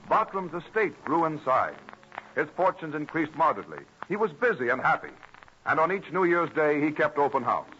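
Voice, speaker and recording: A man narrating an old radio drama from a script, in a measured, formal storytelling manner. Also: a little high, bright, forceful tone, a little hiss or hum. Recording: dull old radio recording, faint music.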